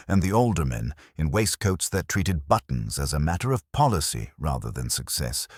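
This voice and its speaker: A man narrating a period comic mystery from a script in a wry, measured, literary manner. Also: extremely low pitch, a little mellow, no room sound, clean studio sound. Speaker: narrator of an audio mystery story.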